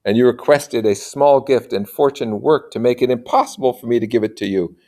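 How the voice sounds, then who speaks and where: A man reading a story aloud as a sorrowful, devoted medieval gentleman fallen into poverty, speaking earnestly and formally. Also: mid-range voice, brisk, lightly acted.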